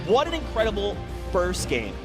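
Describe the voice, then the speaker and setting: A man calling a live esports match in an arena, excitable and shouting at big plays, losing his voice. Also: high voice, moderate pace, over music. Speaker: esports caster. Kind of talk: live esports play-by-play commentary. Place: large indoor arena.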